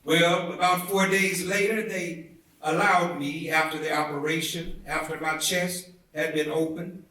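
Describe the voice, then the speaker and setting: A man giving a dramatic, oratorical reading of a speech, with deliberate, measured phrasing and emphatic swells. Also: a little high, fairly even intonation, pushed and bright. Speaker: reader at a commemorative event. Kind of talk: dramatic reading of a historic civil rights speech. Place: indoor theatre event, heard from a distance. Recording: echoey indoor hall, far from the mic.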